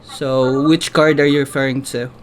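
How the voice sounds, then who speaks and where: A man from the Philippines with a Filipino accent talks casually and haltingly, a native Filipino speaker. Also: mid-range voice, little pitch movement, noisy background. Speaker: vlogger. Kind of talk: casual conversation and commentary.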